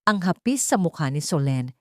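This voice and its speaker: A woman's voice: low voice, very animated, normal speed, a little mellow, no echo, studio quality. A woman reading a scripted, dramatic audiobook as a storyteller.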